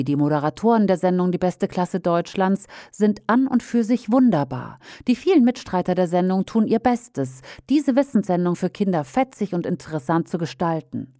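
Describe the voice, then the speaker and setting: Woman reading a sharp commentary, dry. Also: mid-range voice, no room sound. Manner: critical, pointed, measured delivery. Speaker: reader of an opinion commentary. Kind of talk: read-aloud opinion commentary.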